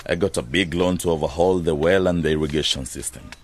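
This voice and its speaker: A man's voice: rumbling low, quick pace, a little hiss or hum. An actor playing a proud, hard-headed farmer and businessman in a radio drama, self-assured and matter-of-fact.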